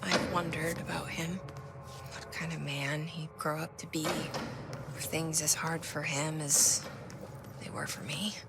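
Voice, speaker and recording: Game inner voice: pensive young woman, deep register with heavy fry, prominent music behind. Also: level tone, takes it slowly, heavily acted. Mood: wistful, pensive. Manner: introspective, subdued. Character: pensive young woman musing on her past. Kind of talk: video game inner monologue.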